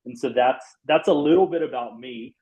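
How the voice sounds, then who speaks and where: A former pastor, a man, presenting a church software webinar in an affable way, with lots of "um" and "you know". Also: medium pitch, moderate pace. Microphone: video call. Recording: dull lo-fi webinar audio.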